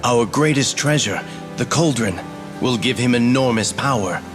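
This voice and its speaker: A man's voice: medium pitch, expressive, normal speed, music in the background, close to an everyday voice. A man playing a courtly, dutiful fairy royal advisor in a fantasy game, formal and composed. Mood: explaining. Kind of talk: fantasy video game voice acting.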